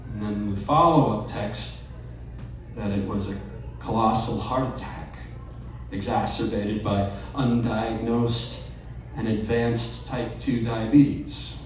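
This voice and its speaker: A man reading a personal essay aloud at a live storytelling night, measured and wry. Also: lowish voice, fairly even intonation, recorded from a distance, slight background noise. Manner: slow, measured delivery with wry asides. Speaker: reader at a live storytelling event. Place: bar or event venue, live audience. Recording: very echoey room, voice off-mic, faint ambience.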